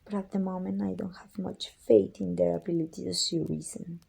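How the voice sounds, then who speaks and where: A woman playing a proud, weary evil queen and sorceress in an ASMR roleplay, with a husky, breathy voice and an imperious, deliberate delivery. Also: on the low side, fairly even intonation, warm tone, heavily acted. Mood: grim, uneasy. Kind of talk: scripted ASMR roleplay acting.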